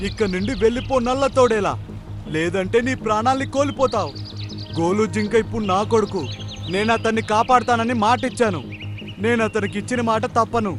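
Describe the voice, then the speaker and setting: A man's voice: high-pitched, fairly quick, faint music behind, natural voice. A man voicing a brave, kind, fatherly crocodile in a children's cartoon, speaking earnestly. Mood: defiant. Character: brave kind blue crocodile, cursed hunter, fatherly protector. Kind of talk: Telugu children's cartoon voice acting.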